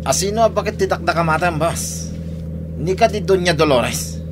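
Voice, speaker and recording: Man acting anxious high-pitched henchman, very noisy. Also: moderate pace, lightly acted. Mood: puzzled, nervous. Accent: Ilocano. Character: anxious, deferential male henchman questioning his boss. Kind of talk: radio drama acting. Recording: very noisy AM radio, vehicle hum.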